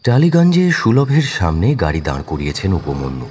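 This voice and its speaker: A man's voice: deep voice, wildly swooping pitch, fairly quick, darkish tone, slight background noise. A man narrating a scripted crime story in a dramatic storytelling style.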